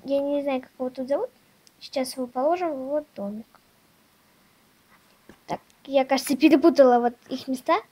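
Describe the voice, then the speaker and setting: A female host chatting casually in a toy unboxing video, showing things step by step in a simple, halting way. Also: high-pitched, a little mellow. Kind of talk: casual unboxing vlog chat.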